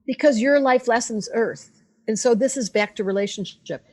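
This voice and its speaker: An older woman astrologer talking bluntly in a casual conversation, animated and acting out the voices of the people she quotes. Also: fairly high pitch, normal speed. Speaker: astrologer guest.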